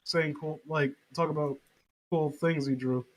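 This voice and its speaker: A man's voice: a little high, fairly even intonation, unhurried, rough recording. A man chatting casually with friends as a podcast co-host, rambling, sarcastic and swearing freely.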